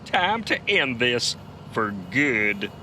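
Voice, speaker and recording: An actor playing a cocky, folksy trucker and amateur detective in a tongue-in-cheek audio drama. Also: male voice, mid-range voice, very animated, extremely slow. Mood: defiant. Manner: cocky, folksy tough talk with flustered stammers. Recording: clear vehicle noise behind.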